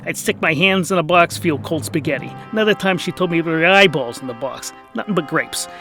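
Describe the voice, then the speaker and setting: A man voicing a surly, defiant wolf adventurer in a comic fantasy story, with a sardonic, deadpan manner. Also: on the high side, a little fast, soft background music, pitched above the performer's own voice. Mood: exasperated.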